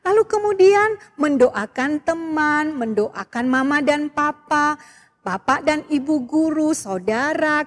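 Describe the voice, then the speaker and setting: Woman preaching to children in a reverberant hall. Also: sits high. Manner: warm exhortation, addresses the children. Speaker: Sunday school teacher. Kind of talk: children's sermon.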